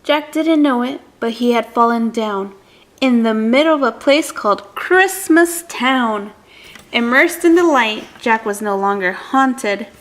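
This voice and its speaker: A woman with a fairly high voice reads a children's storybook aloud. She takes her time, phrasing each line deliberately and with drama, as if for a young child listening. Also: expressive, a bit bright. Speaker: adult reading a picture book aloud to a child.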